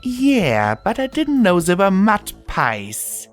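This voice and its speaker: Man putting on a high, cheeky young girl pony. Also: moderate pace, faint music behind. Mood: grumpy. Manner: pleading, sly, expressive. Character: cheeky, sulky young female pony, daughter.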